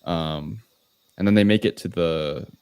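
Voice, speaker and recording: A man's voice: low voice, a little slow, a little mellow, smooth, dry recording. A man co-hosting a podcast, recounting a film in a loose, hesitant, casual chat style. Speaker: podcast co-host.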